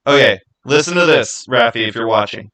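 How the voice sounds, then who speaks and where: A man with a bright, forward voice hosts a casual livestream. He rambles and thinks aloud, speaking to viewers by name in a chatty way. His pitch sits in an ordinary middle range. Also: lively intonation, brisk. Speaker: livestream host. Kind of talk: casual livestream chat, answering viewer comments.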